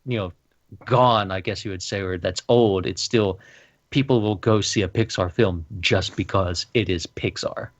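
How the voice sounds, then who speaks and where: A man co-hosting a casual film podcast, explaining his views in a measured, hedging way. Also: deep voice. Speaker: podcast co-host.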